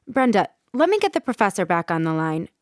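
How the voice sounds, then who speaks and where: A woman's voice: medium pitch, big pitch swings, a little fast, no room sound, studio quality, close to an everyday voice. A woman playing a concerned, inquisitive broadcast host in an audio drama.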